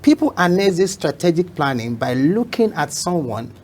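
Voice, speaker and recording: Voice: mid-range voice, big pitch swings, moderate pace, a little mellow. A talk show guest telling an emphatic story, often repeating phrases for effect. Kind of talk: motivational talk show conversation.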